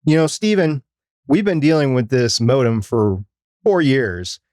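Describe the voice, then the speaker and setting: A man's voice: medium pitch, very animated, measured pace, a little mellow, no echo. Male podcast co-host, dry close sound. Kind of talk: podcast discussion, explanatory.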